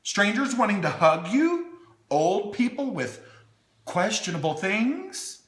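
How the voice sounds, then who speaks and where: Animated, bright-voiced man, theatrical storytime host. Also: high voice, slow. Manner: theatrical, talks as if to small children. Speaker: online host reading a children's book. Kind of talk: playful storytime reading with chatty asides. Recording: echoey room.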